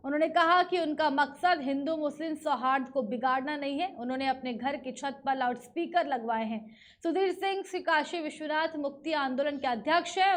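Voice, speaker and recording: A very high-pitched woman's voice with an Indian accent delivers scripted news. She reads as a news presenter, moving through each report in narration style. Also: fairly bright tone.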